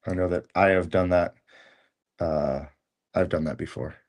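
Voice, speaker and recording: A middle-aged man co-hosting a podcast in casual chat, talking in halting bits with lots of fillers and restarts. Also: low voice, fairly even intonation, a little mellow. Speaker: podcast co-host.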